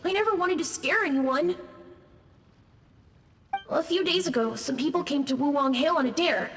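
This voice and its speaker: An actor voicing a timid, gentle boy ghost who got lost, speaking shyly and hesitantly. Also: extremely high pitch, moderate pace, slight background noise. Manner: shy, hesitant, soft-spoken. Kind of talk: video game voice acting.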